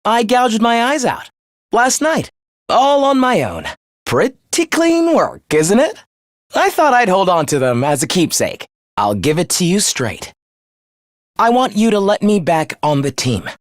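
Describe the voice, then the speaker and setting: A man's voice: high voice, wildly swooping pitch, a little slow, no echo, clean studio sound, exaggerated voice. A man playing a young video game fighter who is eerily polite and fervent, speaking in theatrical, formal phrases of morbid devotion. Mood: eerily cheerful. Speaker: voice actor. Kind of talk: dramatic video game character acting.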